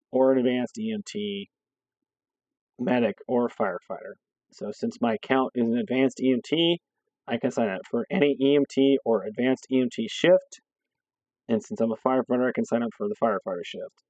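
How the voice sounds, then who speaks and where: A hoarse man from a fire district staff, explaining a scheduling app step by step and checking in with "okay" as he goes. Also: medium pitch, darkish tone, no room sound, muffled recording. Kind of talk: screen-recorded software walkthrough.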